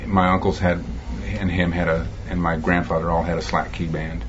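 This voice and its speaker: A man's voice: sits low, normal speed, highs cut off, noisy background. A middle-aged man from Texas, a guest musician telling rambling stories in a casual interview. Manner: rambling, chatty, lively recollections.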